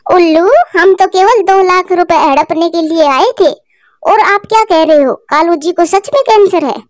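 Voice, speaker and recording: A woman's voice: moderate pace, no echo, clean studio sound. A woman voicing a bossy, greedy female crow in a cartoon. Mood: scheming. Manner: shrill, bossy.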